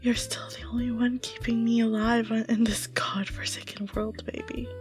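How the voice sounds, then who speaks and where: A woman playing a grieving lone survivor bidding a lost lover farewell in a tender, halting audio roleplay monologue, often dropping to a whisper. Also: a little high, flat delivery, darkish tone, soft background music, close to an everyday voice.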